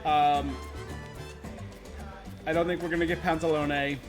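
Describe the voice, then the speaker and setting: A man's voice: a little high, little pitch movement, measured pace. A man streaming a game, chatting casually and thinking aloud in a rambling, opinionated way. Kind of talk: casual livestream gaming chat. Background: game music.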